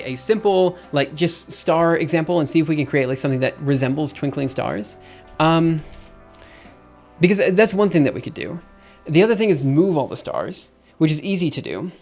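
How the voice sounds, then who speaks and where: A man in his thirties talking casually on a live stream, thinking out loud with lots of fillers and restarts. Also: a little high. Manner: rambling, thinks aloud with many fillers and restarts.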